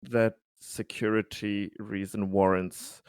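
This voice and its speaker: Male roleplayer, lowish voice, very slow halting delivery, close dry sound. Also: little pitch movement, clean tone, dry recording. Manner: hesitant, halting, repeats himself. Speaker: tabletop game player. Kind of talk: roleplaying discussion, partly in character.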